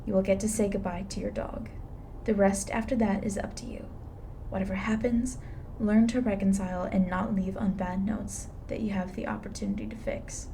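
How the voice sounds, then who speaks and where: A woman playing a blunt, weary, reluctantly soft-hearted reaper in an intimate ASMR roleplay, dry and matter-of-fact. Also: medium pitch, steady tone, normal speed, gravelly, slight background noise, lightly acted. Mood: firm, instructing.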